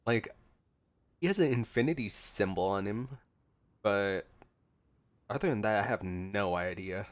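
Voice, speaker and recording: A man's voice: fairly low pitch, unhurried, long pauses. A man giving casual gameplay reaction commentary as a streamer, with game music behind him. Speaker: gaming streamer.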